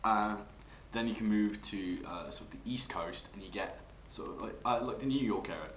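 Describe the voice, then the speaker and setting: An Englishman talks casually, introducing character voices as an amateur performer. His own voice sits fairly low. The room is echoey and there is faint background noise. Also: normal speed, fairly bright tone. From England. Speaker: amateur voice actor. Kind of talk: casual chat introducing accent impressions. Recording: roomy, noisy home recording.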